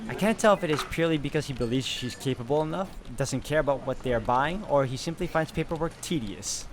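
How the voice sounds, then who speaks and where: A man's voice: mid-range voice, lively intonation, fast, noisy background. A man hosting a game playthrough, reading visual novel narration aloud.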